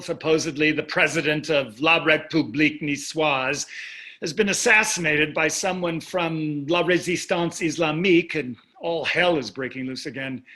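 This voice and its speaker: A man's voice: a little high, bright, forceful tone. An actor reading the part of a blasé, privileged husband in his sixties in a staged play, with dry, dismissive remarks.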